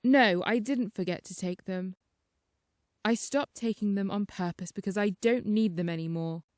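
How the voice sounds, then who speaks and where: A woman voicing a terrified, paranoid man who urgently shouts and repeats his warnings in an audiobook story. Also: medium pitch, moderate pace, no echo, clean studio sound, close to an everyday voice. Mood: defiant, desperate.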